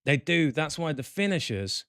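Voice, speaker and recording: A man hosting a football fan show, reading out match stats and player ratings with chatty asides in a croaky voice. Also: a little high, big pitch swings, normal speed, fairly bright tone, dry recording, clean studio sound. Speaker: football podcast host. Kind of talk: casual fan-show commentary.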